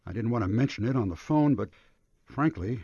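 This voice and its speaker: Anxious businesslike director, dry lo-fi sound. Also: male voice, mid-range voice, animated, fairly quick, warm tone, breathy edge, dry recording. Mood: earnest, worried. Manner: earnest, formal, explanatory. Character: anxious businesslike male retirement home director. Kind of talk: radio detective drama acting. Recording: dull lo-fi radio broadcast.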